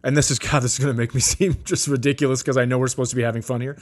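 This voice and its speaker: A man hosting a comedy podcast, chatting casually with a deadpan, sardonic and sweary delivery. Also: mid-range voice, racing.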